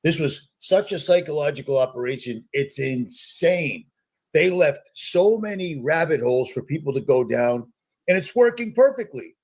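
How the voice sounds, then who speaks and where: A man's voice: on the high side, lively intonation, normal speed, darkish tone, husky. A man in his fifties from the United States, hosting a live reaction stream and ranting in a conspiratorial, repetitive way. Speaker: host.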